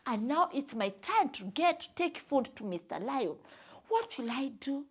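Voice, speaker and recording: A woman's voice: sits high, very animated, normal speed. A woman storyteller voicing a small, clever hare who pleads meekly and hesitantly in a children's tale. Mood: worried. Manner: apologetic, hesitant stammering.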